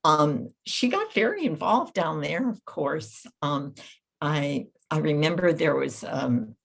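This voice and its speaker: A woman panelist recollecting informally and haltingly, trailing off as she searches her memory. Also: low voice, big pitch swings, gravelly.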